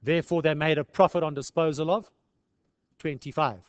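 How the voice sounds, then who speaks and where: High-pitched South African man teaching. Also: fairly even intonation, brisk. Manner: conversational, peppered with rhetorical questions and "eh". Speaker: accounting lecturer. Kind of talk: informal tutorial explanation.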